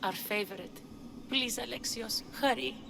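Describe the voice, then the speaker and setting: A woman's voice: fairly high pitch, a little slow, creaky, poor audio. A woman playing an anxious, devoted young woman who grieves for her lost beloved, speaking with plaintive, earnest emotion in a dramatic video game style. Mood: longing, hopeful.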